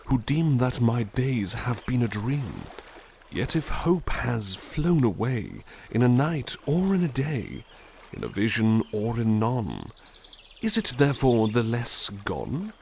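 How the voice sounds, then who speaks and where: A man voicing a kind, polite butler in an ASMR roleplay, speaking softly and formally and reciting poetry with dramatic flair. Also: lowish voice, creaky, a little hiss or hum, exaggerated voice. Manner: polite, formal and soft-spoken; dramatic, lilting recitation of verse. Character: gentle, kindly adult male butler.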